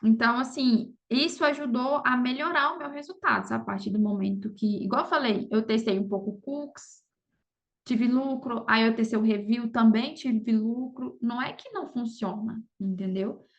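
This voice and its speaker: Brazilian woman, highish voice, slightly dull audio. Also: moderate pace. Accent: Brazilian Portuguese. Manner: informal, frequent check-in tag questions. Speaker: podcast guest, affiliate marketer. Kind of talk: casual conversational advice.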